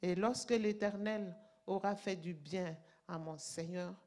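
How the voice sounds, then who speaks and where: A woman preaching a sermon in French, which is not her first language, reading scripture and explaining it emphatically, sometimes rising in volume. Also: on the low side. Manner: slow, emphatic, rising to loud passages.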